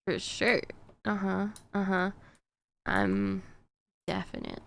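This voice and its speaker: A woman's voice: mid-range voice, little pitch movement, takes it slowly, creaky, a bit bright. A woman streamer chatting casually while she plays, answering her chat with plenty of fillers and little laughs.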